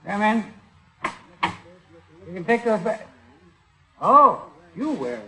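A man's voice: high voice, very animated, slow pace, heavily acted, poor audio. An actor playing a defiant, jumpy male crook who snaps defensively in a vintage radio crime drama. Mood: nervous, irritated. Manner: agitated, defensive, snapping. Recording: vintage radio recording, music behind.

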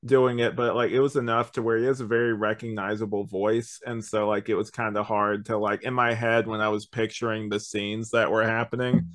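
A man's voice: on the low side, flat delivery, a little fast, few pauses, no echo. A young man co-hosting a podcast, giving a casual, rambling conversational review. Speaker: podcast co-host.

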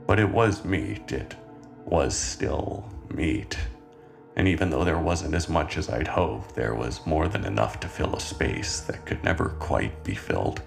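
Man playing a very deep, gravelly, brooding killer. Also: exaggerated voice. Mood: calm, wistful. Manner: measured, deliberate, with dramatic pauses. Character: calm, brooding male cannibal killer confessing fondly. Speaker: writer and narrator of a horror fiction podcast. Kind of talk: scripted first-person horror monologue. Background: music soundtrack.

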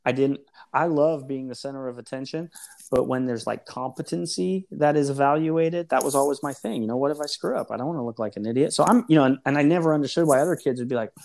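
A man's voice: mid-range voice, fast talker, creaky, a little mellow. A man who works as a therapist, speaking as a guest in a reflective, explanatory way with frequent fillers and restarts. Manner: thoughtful, explanatory, many hedging fillers. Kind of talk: reflective conversation.

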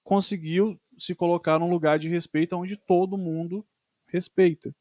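A man's voice: high voice, steady tone, moderate pace, a little mellow, no room sound, studio quality. A man from Brazil hosting a casual chat, speaking hesitantly and searching for his words.

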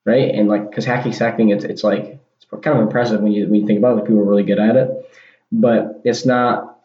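A man hosting a casual solo podcast, rambling with restarts and punchy asides like "boom" and "bam". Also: fairly even intonation, fast. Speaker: podcast host. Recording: dull lo-fi sound in an echoey room.

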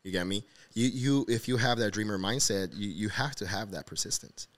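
A man's voice: lowish voice, brisk, fairly bright tone. A man in his thirties from Honduras hosting a casual interview, informal and encouraging, repeating phrases for emphasis. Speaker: podcast host.

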